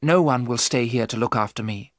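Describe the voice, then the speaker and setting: A man voicing a brave young woman, earnest, resolute and measured, in an audiobook story. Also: mid-range voice, no echo, clean studio sound, natural voice.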